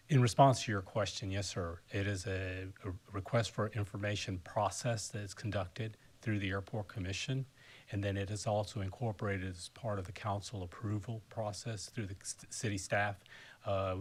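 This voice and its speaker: A man in his fifties from South Carolina, speaking as an airport manager to a county council in a polite, formal way with many restarts. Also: fairly low pitch.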